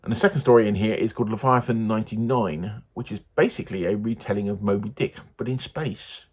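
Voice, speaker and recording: Man, slightly low voice, very husky, rambling, hesitant, casual book reviewer. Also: quick pace, darkish tone.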